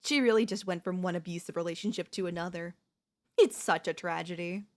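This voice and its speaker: A woman playing a possessive, manipulative wife in an ASMR audio roleplay, speaking to the listener in a sweetly condescending, deliberate, theatrical way. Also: lowish voice, lightly acted. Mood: accusing, condescending. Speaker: voice actress.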